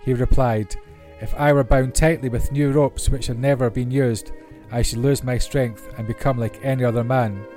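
A man's voice: medium pitch, steady tone, normal speed, few pauses, warm tone. A man reading Bible stories aloud as scripted narration. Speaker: Bible reader. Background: classical orchestral music.

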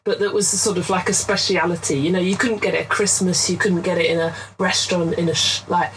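A woman's voice: on the low side, fairly even intonation, quick pace, a little hiss or hum, low-quality recording. A woman, a guest artist in a casual podcast chat, telling rambling stories and laughing often. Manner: chatty, rambling storyteller who laughs often.

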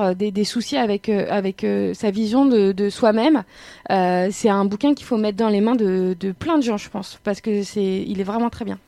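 A woman's voice: medium pitch, warm tone, dry recording. Hesitant woman on a dry podcast mic. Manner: hesitant, many fillers. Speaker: podcast co-host. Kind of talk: casual chat.

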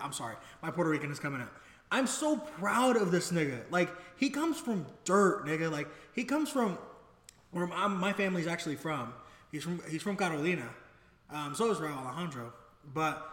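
A young adult man co-hosting a podcast in casual chat, excitable and rambling, swearing freely. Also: high-pitched. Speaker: podcast co-host. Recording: echoey room with long reverb.

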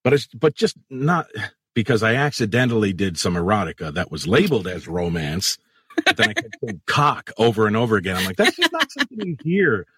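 A man hosting a podcast in a husky voice with a lively, swinging pitch, joking crudely and swearing freely. He is recorded close on a dry mic. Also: brisk, dry recording. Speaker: podcast host. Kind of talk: casual podcast chat.

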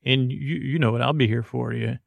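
A man hosting a bedtime podcast, rambling in a halting, meandering, self-interrupting way with gentle goofiness and reassurance. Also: medium pitch, steady tone, gravelly, dry recording. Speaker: podcast host.

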